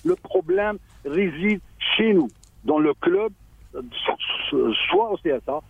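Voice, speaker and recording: A man, a local mayor, speaking on a radio phone-in, halting and repeating words, with a choked-up voice. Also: high voice, expressive, vocal fry, noisy background. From Algeria. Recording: tinny phone line.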